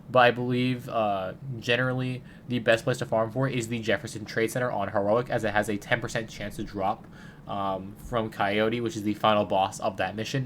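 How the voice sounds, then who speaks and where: A man's voice: medium pitch, a bit bright, a little hiss or hum. A man with a stuffy nose from a cold, giving casual game guide commentary and rambling with many fillers and restarts. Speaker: gaming video creator.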